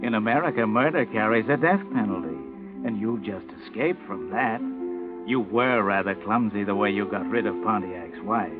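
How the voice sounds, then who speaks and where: An actor voices a sardonic, omniscient man narrating a crime tale. He taunts dramatically in old radio mystery style. Music plays clearly behind the thin, narrow-band recording. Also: on the low side, normal speed, natural voice. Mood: ominous, taunting. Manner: mocking, ominous, dramatic. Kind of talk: 1940s radio drama narration, second person.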